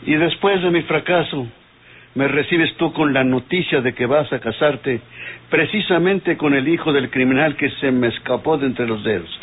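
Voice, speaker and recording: A man's voice: mid-range voice, moderate pace, breathy edge, lightly acted. Actor as weary stern father, tinny radio. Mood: sardonic. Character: weary, stern, sardonic father and police inspector. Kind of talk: old radio soap acting. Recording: tinny old radio recording, faint noise.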